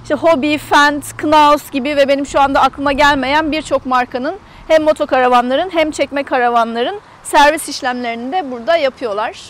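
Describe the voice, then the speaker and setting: High-voiced woman vlogger, chatty. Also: fairly even intonation, moderate pace, few pauses. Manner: chatty, explanatory. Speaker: travel vlogger. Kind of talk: casual guided tour to camera. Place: outdoors in an open camper park lot.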